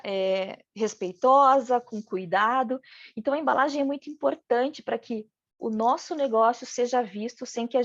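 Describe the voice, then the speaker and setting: A woman's voice: a little high, no echo, lo-fi sound. A woman with a regional Brazilian accent giving a training lecture, speaking as if she were a business owner and often adding "né?". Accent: regional Brazilian Portuguese. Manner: talks in first person as a business owner, many "né" tags. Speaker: packaging design instructor.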